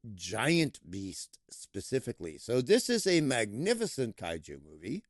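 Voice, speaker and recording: A man giving a casual, playful film review, with lively emphasis that he stretches out for effect. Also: mid-range voice, no room sound, clean studio sound. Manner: playful, animated, drawn-out emphasis. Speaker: movie reviewer.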